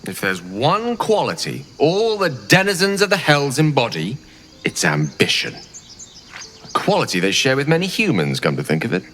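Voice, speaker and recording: A man's voice: mid-range voice, wildly swooping pitch, normal speed, a bit bright, long reverb tail, slight background noise. A man playing a shrewd, scholarly wizard type in a fantasy video game, speaking with erudite, theatrical deliberation. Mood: shrewd deduction. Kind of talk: video game character dialogue.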